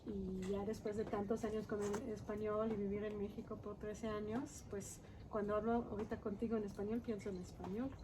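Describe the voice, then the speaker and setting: A woman author from Israel with an Israeli accent, talking casually in an interview and pausing to search for words. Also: mid-range voice, flat delivery. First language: Hebrew. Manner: halting, searching for words in Spanish. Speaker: author guest. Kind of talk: conversational interview. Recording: noisy background.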